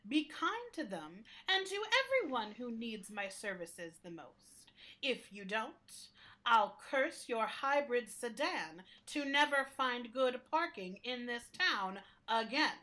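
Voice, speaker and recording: Prim library building for radio play: high-pitched, emphatic, scolding yet caring. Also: female voice, very animated, takes it slowly, bright, forceful tone, full character voice. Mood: playful threat. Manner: emphatic, mock-stern scolding.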